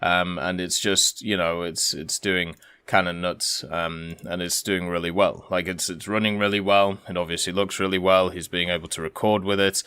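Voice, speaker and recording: A man's voice: low-pitched, level tone, moderate pace, hardly any pauses, pushed and bright, clear, resonant tone. A man giving casual, rambling commentary as the host of a gaming video.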